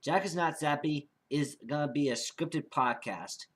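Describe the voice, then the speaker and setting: A man's voice: a little high, a little slow, fairly bright tone. A young man giving a casual, unscripted vlog monologue as an amateur podcast creator, rambling and often repeating and restarting his phrases.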